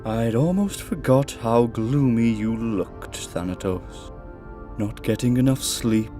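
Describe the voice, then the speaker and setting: A man's voice: mid-range voice, takes it slowly, mellow, close to an everyday voice. A man playing a drowsy, laid-back god of sleep who teases his brother in a languid, casual way in an audio drama. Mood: drowsy teasing. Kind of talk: audio drama character acting. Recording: voice over background music.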